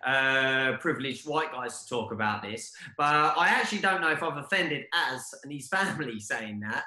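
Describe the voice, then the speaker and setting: Earnest man, bright voice in a roomy space. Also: mid-range voice, lively intonation. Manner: halting, earnest, self-correcting. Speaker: podcast co-host. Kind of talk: podcast conversation. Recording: roomy echo.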